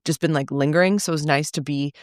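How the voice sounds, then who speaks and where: A woman's voice: deep voice, fairly even intonation, fast, no room sound, studio quality. A woman from Salem, Massachusetts, a reality show cast member speaking frankly as a podcast guest, with rambling sentences full of fillers and casual swearing. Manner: frank, run-on talk with frequent fillers and mild swearing. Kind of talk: candid conversational interview.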